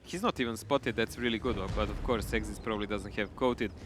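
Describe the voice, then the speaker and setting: A man's voice: on the low side, a little fast, a little hiss or hum. A man co-casting a live esports match, talking in a chatty way with loose phrasing and restarts. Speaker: esports co-caster.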